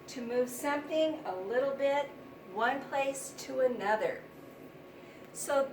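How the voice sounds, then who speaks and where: A woman teaching young children, explaining things simply and deliberately with plenty of repetition. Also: high-pitched. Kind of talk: read-aloud vocabulary lesson for young children. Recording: roomy, distant mic, noisy background.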